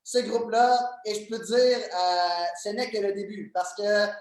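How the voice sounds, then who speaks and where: A young man with a strong Québécois accent talks eagerly as a guest, mid-pitched for a man. He stumbles through repeats and fillers. His voice rings in a very echoey room. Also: steady tone, measured pace. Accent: Québécois French. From Quebec, Canada. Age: 20s. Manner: enthusiastic and joking, many fillers and repeated words. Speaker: guest, MLM coach. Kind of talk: casual interview chat.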